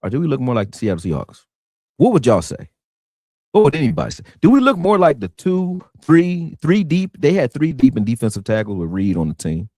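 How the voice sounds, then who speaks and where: A man rants through a live sports talk stream. His pitch swings widely as he talks, and he keeps leaning on "you know what I'm saying." The sound is close and dry. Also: wildly swooping pitch, a little fast, dark tone, dry recording. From Philadelphia. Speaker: sports show host.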